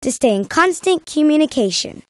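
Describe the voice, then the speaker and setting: High-pitched, pedantic brainy girl. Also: big pitch swings, moderate pace, dry recording, clean studio sound. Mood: earnest. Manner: pedantic, articulate. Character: brainy, precise young girl know-it-all. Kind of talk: children's cartoon game acting.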